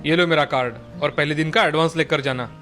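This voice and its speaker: A man voicing an important hotel-owning gentleman in a story, eager and appreciative. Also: a little high, steady tone, quick pace, soft background music.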